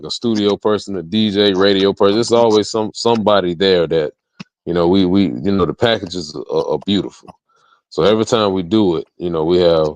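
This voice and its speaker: A man's voice: deep voice, dry recording, rough recording. A man talking casually as an interview guest, in slangy speech peppered with "you know" and "man". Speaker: interview guest, DJ and event promoter.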